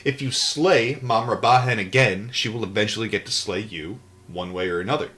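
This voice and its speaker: A man's voice: fairly low pitch, moderate pace, bright, forceful tone. A man hosting a live read-aloud, moving between reading a book and casual, irreverent, rambling asides. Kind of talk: audiobook-style reading with casual off-the-cuff asides.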